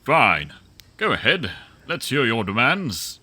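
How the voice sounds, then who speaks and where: A man's voice: mid-range voice, sing-song, slow pace, bright, forceful tone, full character voice. A man playing a pompous, demanding, wealthy business mogul in a sci-fi audio drama, talking in a haughty, theatrical way. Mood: sulky, threatening.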